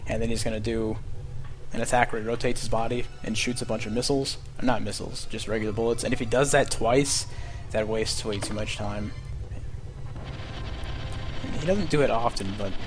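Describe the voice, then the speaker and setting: A man's voice: lowish voice, moderate pace, long pauses. A young man casually explaining game tactics as he plays a speedrun live. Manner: relaxed, explanatory, chatty about game tactics. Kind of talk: casual live commentary while playing. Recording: stream mic with game music behind. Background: game music.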